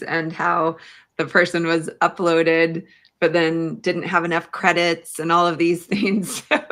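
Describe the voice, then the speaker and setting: A woman with a voice a little on the low side hosts an informal livestream interview. She asks her questions thoughtfully, pausing as she shapes them. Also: a bit bright. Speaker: podcast host, interviewer.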